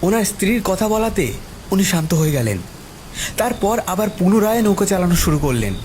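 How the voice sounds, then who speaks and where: A man's voice: high-pitched, very animated, brisk, slight background noise. A man narrating a dramatic first-person story as its storyteller. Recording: loud music behind the voice.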